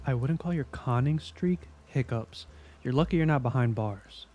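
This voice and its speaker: A man's voice: medium pitch, moderate pace, a little mellow, dull audio, faint music behind, lightly acted. A man playing a weary, skeptical police investigator in an audio drama, speaking in a dry, blunt, impatient way. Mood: wry, exasperated.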